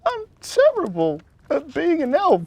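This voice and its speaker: A man's voice: extremely high pitch, wildly swooping pitch, measured pace, creaky, a little mellow, dry recording, muffled recording, voice pushed up, heavily acted. A man voicing a clumsy, tearful but eager young female Christmas elf in a children's story, with a plaintive, wide-eyed manner.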